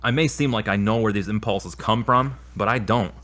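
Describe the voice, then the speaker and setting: A man's voice: lowish voice, moderate pace, fairly bright tone, close to an everyday voice. A man playing an earnest, troubled boyfriend in an audio drama, speaking in solemn, formal phrases. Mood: earnest resolve. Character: earnest troubled boyfriend admitting his lies and anger.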